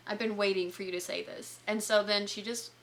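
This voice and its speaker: A woman's voice: mid-range voice, steady tone, brisk, a bit bright. A woman with a light Southern US accent, co-hosting a book podcast in chatty, enthusiastic conversation, often restarting her sentences. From Southern United States. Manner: chatty, enthusiastic, self-interrupting. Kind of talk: casual chat.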